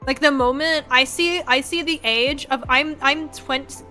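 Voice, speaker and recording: 20s streamer girl, high bright voice, rambly sweary casual chat, bit of music in the back. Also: unhurried. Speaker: streamer, VTuber host. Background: background music.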